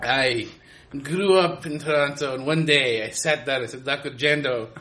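A man's voice: mid-range voice, moderate pace, pushed and bright, natural voice. A man playing a self-taught, oddly formal male love doctor with stilted, grandiose phrasing and a put-on accent. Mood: earnest. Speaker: comedy podcast guest character.

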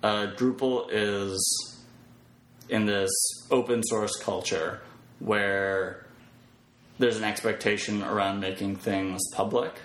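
A man chatting casually as a podcast guest, thinking aloud in a halting, jokey way. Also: lowish voice, steady tone, fairly bright tone. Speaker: podcast guest, web developer. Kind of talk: casual interview chat. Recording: roomy echo with background noise.

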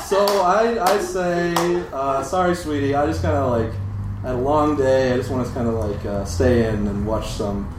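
A man's voice: mid-range voice, normal speed. A man with a Midwestern American accent telling a casual, self-deprecating comic story live. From Europe, Britain, moved to the States in seventh grade. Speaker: guest storyteller. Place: live event room with audience. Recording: echoey live room, distant mic, noisy.

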